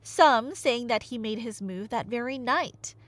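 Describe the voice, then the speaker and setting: A woman's voice: fairly high pitch, a little slow, no echo. A woman telling a story in a casual, playful roleplay as a nerdy girlfriend, conversational and teasing, with dramatic emphasis, close to the microphone. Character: nerdy girlfriend excitedly retelling Greek myths. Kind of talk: animated casual storytelling roleplay to a listener, ASMR audio.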